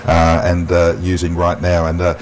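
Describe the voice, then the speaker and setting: An Australian man presenting an unscripted amateur TV walk-through, halting often with ums and uhs. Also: low-pitched, monotone. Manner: halting, with long um and uh pauses. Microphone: wireless mic pack. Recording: noisy amateur TV transmission audio.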